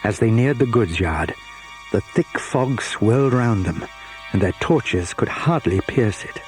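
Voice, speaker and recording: A man's voice: fairly low pitch, expressive, faint music behind. Man narrating a children's story. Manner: measured, storytelling.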